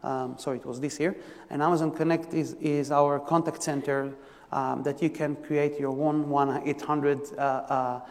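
A man with an Israeli accent explains software services to a conference audience. His voice sits a bit high for a man, and he stops and restarts often, filling gaps with ums. The sound trails off in the long reverb of a large hall. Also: little pitch movement. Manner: halting, many fillers and restarts. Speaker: technical presenter. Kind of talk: conference tech talk.

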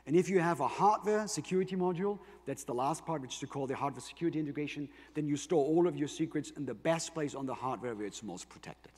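Husky German-accented man presenting in a big hall. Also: a little high, animated, fast talker, hardly any pauses, a little mellow. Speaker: conference presenter for a tech company. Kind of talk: keynote tech presentation. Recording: reverberant large hall.

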